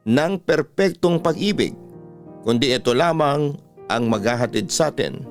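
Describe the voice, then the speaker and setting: A man with a Filipino accent reading letters as a radio host, in an earnest, sentimental classic storytelling style. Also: mid-range voice. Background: soft music.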